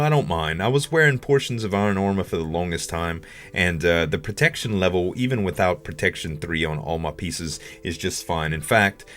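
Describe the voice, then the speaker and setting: A man's voice: low-pitched, fairly bright tone. A man giving casual gaming commentary as a video host, rambling and thinking aloud.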